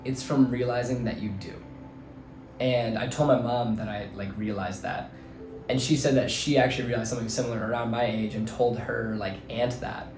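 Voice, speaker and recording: A young man in his twenties from New Jersey, his voice slightly hoarse, talking through his thoughts in a casual, rambling way full of fillers. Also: medium pitch, fairly even intonation, normal speed, a little mellow. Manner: rambling, filler-heavy, earnest. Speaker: vlogger and teacher. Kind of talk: casual reflective monologue. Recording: roomy echo, faint background music.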